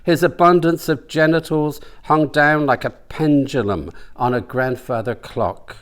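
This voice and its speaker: A man reading comic fiction aloud as the author, with deliberate dramatic pauses. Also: a little high. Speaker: author reading from own novel. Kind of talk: comic audiobook-style narration.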